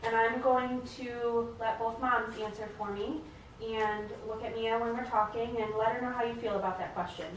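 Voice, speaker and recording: A woman's voice: a little high, fairly even intonation, moderate pace, natural voice. A woman playing an encouraging, careful family therapist in a role-played session, speaking hesitantly and affirmingly. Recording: very echoey room, distant mic, faint noise.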